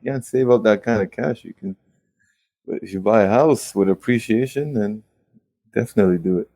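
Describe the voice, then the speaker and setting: Late-20s male guest, low voice, dry close mic, animated storyteller. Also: gravelly, dry recording. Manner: animated storytelling, quick rueful asides. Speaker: guest real estate investor. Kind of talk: casual conversational interview.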